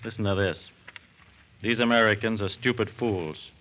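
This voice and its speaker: An actor playing a steady, businesslike FBI agent in a 1940s-style radio drama, brisk and authoritative, on a muffled, noisy old recording. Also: male voice, lowish voice, close to an everyday voice. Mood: grave, measured. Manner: brisk, authoritative, matter-of-fact.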